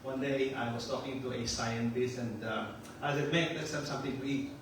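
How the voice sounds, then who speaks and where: A man's voice: medium pitch, fairly even intonation, a little fast. Older Filipino-accented male pastor, chatty and joking, distant mic in a roomy hall. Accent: Filipino with some Australian. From Philippines. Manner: joking and chatty, laughs often. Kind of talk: casual sermon. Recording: echoey far-off room mic with noise.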